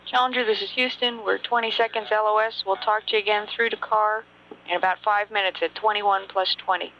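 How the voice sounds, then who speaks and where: A woman capsule communicator at mission control, giving crisp procedural instructions over a lo-fi radio link. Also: mid-range voice, steady tone, brisk. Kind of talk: air-to-ground radio communication. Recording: dull lo-fi radio with faint hiss.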